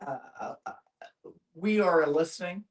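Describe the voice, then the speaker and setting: A woman's voice: fairly low pitch, moderate pace, far from the mic. A woman musing aloud in a group discussion, often repeating and circling back over her phrases.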